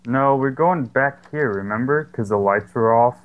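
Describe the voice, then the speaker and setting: A man's voice: mid-range voice, moderate pace, narrow, tinny audio. A young man chatting casually and sarcastically over a co-op horror game, swearing often. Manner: swears a lot, jokey and sarcastic. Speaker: gaming channel host. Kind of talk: casual co-op gameplay chat.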